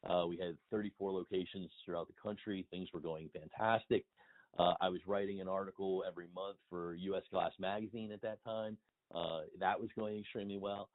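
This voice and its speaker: A man's voice: sits low, steady tone, fairly quick, narrow, tinny audio. A middle-aged man speaking as a guest in a conversational phone interview, wordy and emphatic. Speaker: interview guest, industry consultant and blogger. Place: remote phone call.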